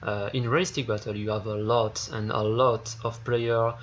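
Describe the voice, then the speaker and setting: French-accented man, halting gaming commentary. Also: on the low side, little pitch movement, dull audio, slight background noise. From France. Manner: halting, searching for words, many fillers and repeated starts. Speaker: gaming YouTuber. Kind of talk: casual game review commentary.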